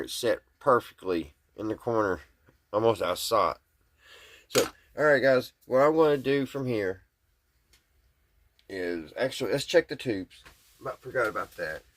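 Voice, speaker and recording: A man's voice: medium pitch, a bit bright. A man giving casual, hands-on repair commentary, folksy and musing aloud to himself as he works. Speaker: hobbyist repair vlogger.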